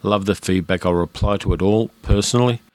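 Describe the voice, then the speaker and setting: A man's voice: low-pitched, fairly quick, a little mellow, no room sound. A man with an educated Australian accent, hosting his own film podcast in a frank, chatty way with fillers and restarts. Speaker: podcast host. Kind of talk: casual solo podcast monologue.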